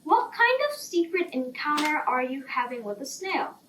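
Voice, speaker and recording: A curious, gently teasing little storybook squirrel acted out by a woman. It speaks calmly in a high, lively voice, in a roomy recording a little away from the mic. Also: moderate pace. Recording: roomy, distant, faint background noise.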